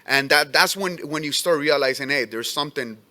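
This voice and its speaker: A man's voice: a little high, bright, forceful tone. Earnest immigrant man testifying. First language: not English. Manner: earnest, halting, many restarts. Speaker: letter carrier giving testimony. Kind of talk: testimony at a public hearing.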